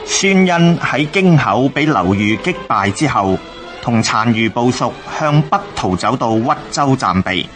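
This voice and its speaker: Animated male radio narrator. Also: medium pitch, a little slow, over music. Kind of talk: scripted documentary-like radio drama narration.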